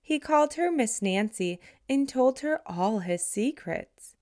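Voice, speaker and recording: A woman reading a picture book aloud to children in a deliberate, expressive storytelling manner. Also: high-pitched.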